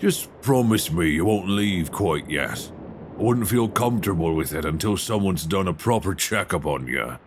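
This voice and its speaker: A man playing a weary, wry old nineteenth-century lighthouse keeper with halting, folksy speech in a suspense audio roleplay, close on a binaural mic. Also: sits low, animated, noisy background. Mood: kindly, coaxing. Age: older. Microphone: close binaural microphone.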